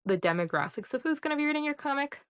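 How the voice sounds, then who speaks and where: A woman's voice: high-pitched, lively intonation, racing, a bit bright, dry recording, clean studio sound. A woman in her early twenties hosting an interview, asking friendly, curious questions in casual chat. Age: early 20s. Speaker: interview host, webcomic YouTuber.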